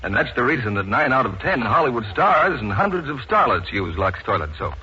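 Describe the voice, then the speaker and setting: Animated, bright-voiced male radio announcer. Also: medium pitch. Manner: polished, deliberate sales delivery. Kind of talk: 1940s scripted radio advertisement. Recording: muffled, noisy 1940s radio recording.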